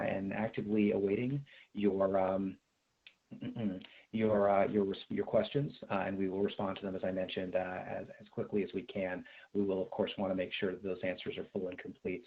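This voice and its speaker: American man, official call host, even low delivery, measured and a bit hesitant, phone-like sound. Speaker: contracts manager hosting a conference call. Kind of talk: formal question-and-answer on a recorded bid call. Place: indoors on a video call. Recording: thin, phone-like video call audio.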